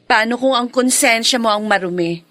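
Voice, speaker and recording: A woman's voice: a little high, moderate pace, fairly bright tone, no echo, lightly acted. An actress playing a suspicious, jealous woman who needles her partner accusingly in a Filipino radio drama.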